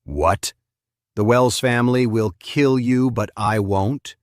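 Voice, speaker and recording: A man's voice: mid-range voice, slow, dry recording, studio quality, lightly acted. A man voicing a cold, commanding male avenger in a story, stern and taunting. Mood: cold menace.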